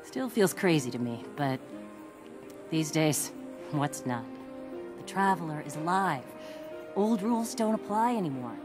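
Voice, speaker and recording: A woman's voice: deep voice, expressive, measured pace, pauses often, music in the background. An actress playing a spirited, irreverent woman mechanic in a video game, with casual, teasing, folksy talk. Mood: wry, warm. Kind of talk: video game character dialogue.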